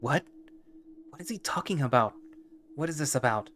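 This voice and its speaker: A man's voice: mid-range voice, lively intonation, normal speed, sharp tone, a little hiss or hum, voice pushed up. A man voicing a frightened, defiant young man who curses as he panics. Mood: panicked. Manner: panicked, cursing.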